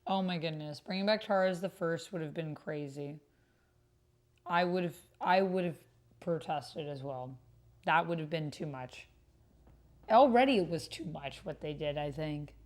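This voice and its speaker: A woman's voice: sits low, moderate pace, a little mellow. A woman giving casual commentary as she types and reads chat aloud. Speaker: host of a solo roleplaying video. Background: computer keyboard typing.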